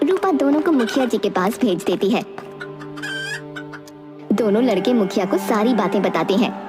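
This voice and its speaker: A woman's voice: medium pitch, expressive, normal speed. A woman narrates a scripted moral tale in storybook style. She is the narrator of a cartoon, with prominent music playing under her voice.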